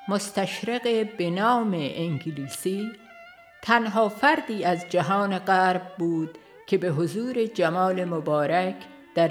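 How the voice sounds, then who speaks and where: Female narrator, lowish register, formal and measured delivery for a devotional book, soft string music underneath. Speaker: audiobook narrator. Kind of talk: scripted narration of a religious book.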